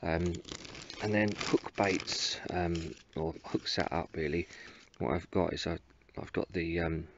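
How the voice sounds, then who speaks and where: A man in his forties, an angler vlogging from a riverbank into a phone camera close up, talking through his gear in a relaxed, halting way with many ums and restarts. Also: low voice, lo-fi sound, background noise. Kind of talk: casual walkthrough of fishing gear. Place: riverbank outdoors. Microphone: phone camera held close.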